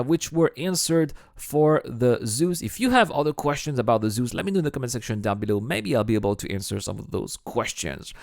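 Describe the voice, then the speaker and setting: A man's voice: fairly high pitch, no room sound, clean studio sound. A man with a voice worn by illness, presenting scripted question-and-answer commentary as a gaming video host. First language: French. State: sick, voice strained from illness. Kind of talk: scripted Q&A commentary over game footage.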